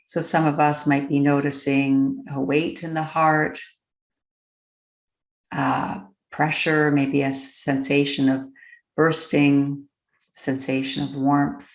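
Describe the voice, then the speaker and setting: A woman leading a guided meditation, speaking gently and deliberately, with long pauses between phrases. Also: sits low, flat delivery, reverberant. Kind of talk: slow, unscripted guided meditation.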